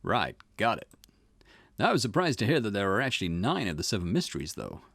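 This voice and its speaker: A man's voice: fairly low pitch, very animated, fast, gravelly, fairly bright tone, no room sound, studio quality, exaggerated voice. A man voicing an eager, earnest and inquisitive junior detective in a visual novel, deferring to a boss. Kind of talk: reading visual novel dialogue in character.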